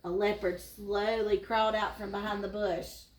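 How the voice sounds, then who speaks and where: A woman's voice: mid-range voice, little pitch movement, moderate pace, very noisy. A woman reading a children's storybook aloud in the manner of a school teacher. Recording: echoey room.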